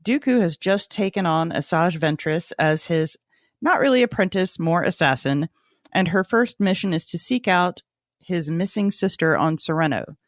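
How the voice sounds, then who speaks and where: A woman's voice: lowish voice, normal speed, no room sound, clean studio sound. A woman co-hosting a book club podcast, talking in a conversational style. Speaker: podcast co-host. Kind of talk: casual book club discussion.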